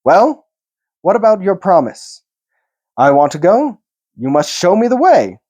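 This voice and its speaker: A man's voice: sits high, big pitch swings, a little mellow, pitched above the performer's own voice, exaggerated voice. Man doing a small, anxious hobbit putting on boldness. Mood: bold, tense. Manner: trying to sound bold, brisk. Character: small, anxious but brave male hobbit. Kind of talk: audiobook character voice.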